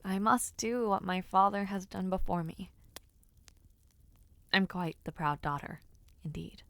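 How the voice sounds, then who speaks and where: A woman playing an earnest, affectionate young noblewoman and would-be knight in an intimate audio roleplay, speaking with gently formal, sincere phrasing. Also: medium pitch, unhurried, dry recording. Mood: earnest, proud. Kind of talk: audio roleplay, intimate one-sided dialogue to listener.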